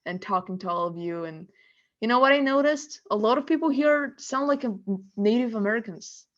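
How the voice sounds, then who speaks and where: A woman's voice: a little high, lively intonation, normal speed, highs cut off. Cheerful Bulgarian woman on a call. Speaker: participant. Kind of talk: group discussion on a video call. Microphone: video call.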